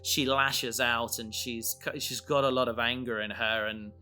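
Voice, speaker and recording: A man in a casual podcast discussion, explaining his creative work in a halting way, thinking aloud. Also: mid-range voice, fairly even intonation, bright, forceful tone, faint music behind. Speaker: audio drama creator.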